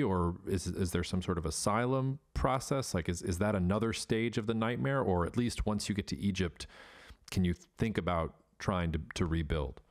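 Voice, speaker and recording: A man hosting a podcast, asking direct questions one after another. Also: deep voice. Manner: direct, asks questions in quick strings. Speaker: podcast host. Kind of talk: interview questions.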